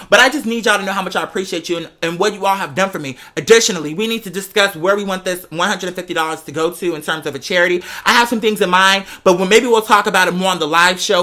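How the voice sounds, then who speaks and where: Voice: mid-range voice, bright, forceful tone. A young adult with an American accent telling gossipy stories to followers in a sassy, slangy, emphatic way, repeating phrases for effect. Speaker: vlogger addressing patrons. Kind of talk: casual gossipy storytelling.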